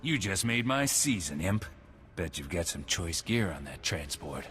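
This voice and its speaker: Game role: cowardly, grasping rebel, low register, extremely animated, reverberant. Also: male voice, a little slow, soft background music, full character voice. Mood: gloating. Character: greedy, cowardly male rebel fighter. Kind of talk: video game cutscene acting.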